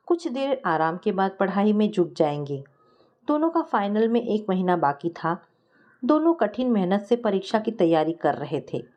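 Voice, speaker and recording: A woman's voice: medium pitch, dark tone. A woman reading a scripted first-person family story as a storyteller.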